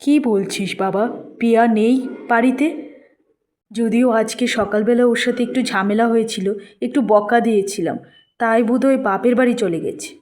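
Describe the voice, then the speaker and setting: A woman's voice: on the high side, normal speed, darkish tone, natural voice. A woman voicing a worried, fond older mother in a cartoon, anxious and plaintive.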